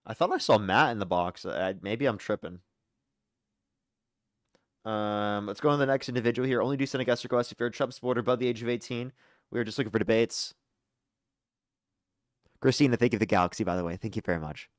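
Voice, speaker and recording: A young man hosts a live call-in debate stream, rattling through callers at a rapid clip. His voice sits close and dry, with no room sound around it. He moves briskly from one caller to the next and drops sarcastic asides along the way. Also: fairly low pitch, no echo. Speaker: livestream debate host.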